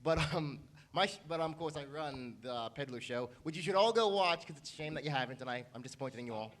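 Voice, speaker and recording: A man's voice: on the high side, fast talker, fairly bright tone. A man chatting casually as a panelist at a convention, talking into a microphone. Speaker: panelist, audio editor. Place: convention meeting room with an audience.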